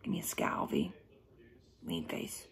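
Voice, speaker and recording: A middle-aged woman reading a children's book aloud, animated and emphatic, breaking off to question her young listeners. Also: unhurried, whispered. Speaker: storybook reader for children. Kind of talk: children's read-aloud with asides. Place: small room at home, indoors.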